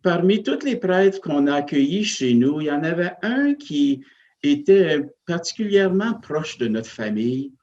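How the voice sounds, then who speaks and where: A man, a parish priest, speaking slowly and thoughtfully as he recalls his family and faith. He hesitates often and restarts his sentences, with a voice at an ordinary pitch. The sound is a little dull, like an older TV broadcast. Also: big pitch swings, darkish tone. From Northern Ontario, Canada. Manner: hesitant, many fillers and restarts, reflective. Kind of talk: interview, personal storytelling.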